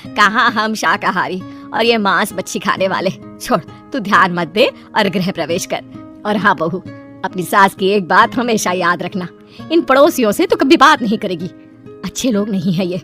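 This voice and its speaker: A woman's voice: medium pitch, normal speed, pushed and bright, faint music behind, natural voice. A woman voicing a stern, protective mother-in-law in a cartoon story, talking in a firm, instructing way. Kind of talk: cartoon voice acting.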